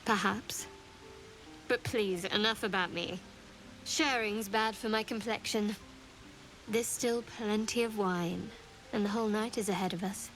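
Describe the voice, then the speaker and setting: Young cleric, medium pitch, soft, reserved, teasing, wry, intimate video game acting. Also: female voice, steady tone, unhurried, pauses often, faint music behind, poor audio. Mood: coy. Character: guarded, wry young female cleric warming to romance.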